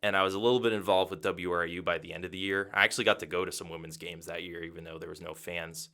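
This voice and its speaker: Young male sports broadcaster from Massachusetts, very low, bright and crisp voice, measured answers. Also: fairly even intonation. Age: 20s. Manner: measured and thoughtful, frequent "um" and "you know". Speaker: radio play-by-play broadcaster. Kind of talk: interview answers.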